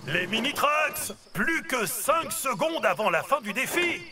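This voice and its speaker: A man's voice: very high-pitched, big pitch swings, a little slow, heavily acted. A man voicing a kindly, encouraging grandfather truck in a children's cartoon, with a theatrical delivery. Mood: excited, proud. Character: kindly grandfather truck, cheerful challenge master. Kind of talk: French children's cartoon voice acting.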